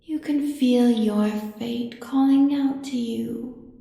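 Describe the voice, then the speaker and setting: In a deep wash of cavernous reverb, an ancient monstrous creator speaks , played by a woman. The delivery is very slow and mellow, with a heavy creak. Every pronouncement is deliberate, cruel and coldly menacing, made for dark audio drama. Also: steady tone. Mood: musing, menacing. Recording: very echoey, long cavernous reverb.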